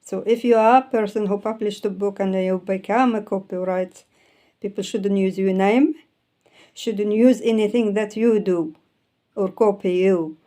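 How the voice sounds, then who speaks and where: A woman's voice: medium pitch, steady tone, unhurried, dark tone. Arabic-native woman chatting about books. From Arabic-speaking country. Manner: rambling, repeats "so therefore", self-corrects words. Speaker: self-published author. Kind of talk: casual explanatory talk, showing books.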